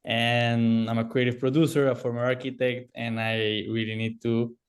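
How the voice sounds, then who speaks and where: Colombian guy, spanish first language, talks real flat and careful, lots of uhs, on a video call. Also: medium pitch, normal speed. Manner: flat, careful, with many uh fillers. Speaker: guest.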